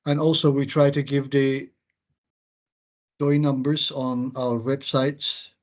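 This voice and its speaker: A man moderating questions after an academic seminar on a video call, polite and deliberate. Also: mid-range voice, level tone. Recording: muffled video call audio.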